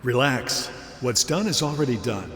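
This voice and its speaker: A man's voice: mid-range voice, animated, moderate pace, lightly acted. A man acting as an irritable, scheming mob lawyer in a contemporary radio drama, brusque and exasperated. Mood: brusque, impatient. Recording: echoey hall with crowd noise.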